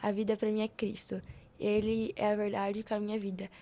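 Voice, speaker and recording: A woman's voice: mid-range voice, level tone, brisk, mellow. A young Brazilian woman telling personal stories casually as a podcast guest, slangy and often retelling conversations as dialogue. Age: young adult.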